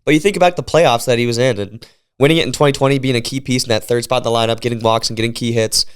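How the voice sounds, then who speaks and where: A man's voice: medium pitch, racing, smooth. A man talking as league commissioner in a casual podcast chat, congested from a cold, explaining things in an upbeat way.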